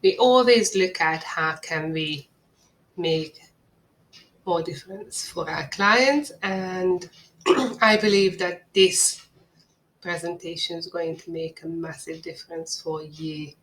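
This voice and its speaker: Slow, low-voiced woman with Hungarian accent. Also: a bit bright, rough recording. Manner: earnest, measured, salesy. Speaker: marketing agency owner presenting a webinar. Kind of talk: scripted webinar presentation.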